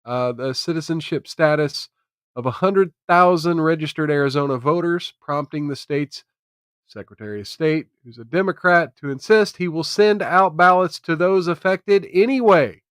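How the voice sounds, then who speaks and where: A man's voice: fairly high pitch, moderate pace, a bit bright, clear, resonant tone, no echo, clean studio sound. A man hosting a live stream with casual, irreverent news commentary, sometimes reading articles aloud in an informal way.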